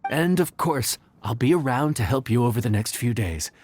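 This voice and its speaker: An actor playing an affable, patient inn cook who explains things in an encouraging, folksy way in a video game. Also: male voice, medium pitch, animated, moderate pace, darkish tone, a little hiss or hum, lightly acted. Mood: reassuring. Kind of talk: video game cutscene acting.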